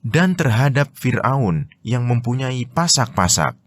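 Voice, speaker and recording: A man's voice: mid-range voice, very animated, unhurried, no room sound. A man with an Indonesian accent reading religious commentary as formal scripted narration.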